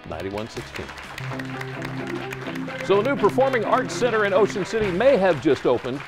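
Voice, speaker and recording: A middle-aged man co-hosting a TV magazine show in a studio, reading segments with a lively delivery. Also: sits high, normal speed, music in the background, a crowd in the background. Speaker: co-host. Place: TV studio set.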